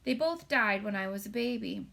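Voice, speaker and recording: A woman's voice: medium pitch, lively intonation, moderate pace, gravelly, sharp tone, heavily acted. A woman voicing a plucky, plain-spoken orphan girl in a children's storybook, frank and stoical. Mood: matter-of-fact.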